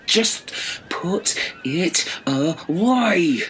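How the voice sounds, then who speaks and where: A man playing a proud, stern castaway builder in a comedy audio drama, speaking deliberately. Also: fairly high pitch, animated, fairly bright tone, slight background noise, heavily acted. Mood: exasperated.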